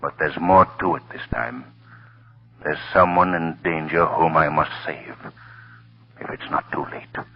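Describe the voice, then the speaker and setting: A very deep, mysterious unseen crime fighter. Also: male voice, steady tone, measured pace. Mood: grave. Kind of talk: 1930s radio drama acting. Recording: muffled old radio recording.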